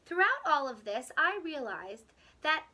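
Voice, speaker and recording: A woman with a high, bright voice reads a picture book to children. She takes her time like a teacher, telling the story expressively and leaving dramatic pauses. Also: sing-song, a little hiss or hum. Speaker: teacher reading aloud.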